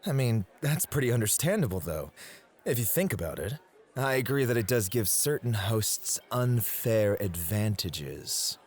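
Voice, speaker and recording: A man's voice: fairly low pitch, big pitch swings, unhurried. A man acting in an ASMR roleplay as a possessive, doting club host with an affectionate, teasing way of talking. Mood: reasonable.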